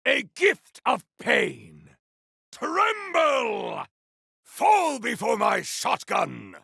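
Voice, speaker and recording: A male voice actor playing a grandiose, battle-hungry video game warrior, declaiming in a bombastic, archaic style with a rough, gravelly voice. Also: very high-pitched, wildly swooping pitch, very slow, pushed and bright, no room sound, clean studio sound, exaggerated voice. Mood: battle fury. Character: grandiose battle-hungry warrior wielding fire, frost and storm. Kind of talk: video game combat barks.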